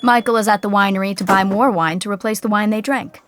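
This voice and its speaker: A woman's voice: medium pitch, steady tone, quick pace, fairly bright tone, soft background music, natural voice. A woman playing the wry narrator of a scripted sitcom.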